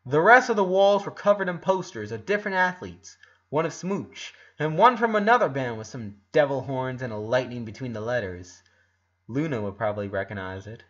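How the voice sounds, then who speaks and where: A man reading a story aloud as an expressive narrator. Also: mid-range voice, moderate pace, dull audio. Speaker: fan fiction narrator.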